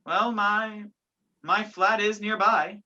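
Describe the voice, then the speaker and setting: A young woman playing a sceptical, gallant gentleman in an amateur radio play, with a theatrical, incredulous manner. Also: mid-range voice, steady tone, takes it slowly. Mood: puzzled, polite. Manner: incredulous, theatrical, old-fashioned phrasing. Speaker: student actor in a school radio play. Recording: dull lo-fi home recording.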